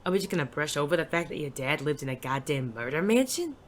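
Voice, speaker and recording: An actor playing a world-weary, wisecracking private detective in an audio drama, dry, sardonic and casually profane, with a soft spot underneath. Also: medium pitch, big pitch swings, fast talker, slight background noise, close to an everyday voice. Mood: sardonic, wary.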